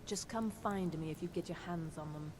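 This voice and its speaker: A woman's voice: lowish voice, normal speed, in a large hall, heavy background noise, close to an everyday voice. A woman voicing a vain, paranoid female wizard in a fantasy video game, haughty and indignant in manner. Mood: scheming, impatient. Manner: haughty, indignant, self-admiring.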